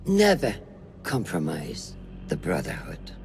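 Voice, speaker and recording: Slow, low-voiced stern assassin mentor. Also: female voice, huge pitch swings, gravelly, darkish tone, faint music behind, full character voice. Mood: solemn, ceremonial. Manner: solemn, deliberate, weighty delivery. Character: stern, commanding veteran female mentor of a secret assassin brotherhood. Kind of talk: dramatic video game cutscene acting.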